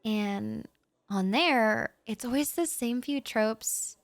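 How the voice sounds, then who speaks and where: A young woman with a slightly high voice talks in a slow, unhurried way, shy and rambling as she speaks softly to the listener. Nervous laughs slip in between her thoughts, and the sound is close and dry with no room around it. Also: vocal fry, dry recording. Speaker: audio roleplay performer. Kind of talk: intimate audio roleplay monologue to the listener.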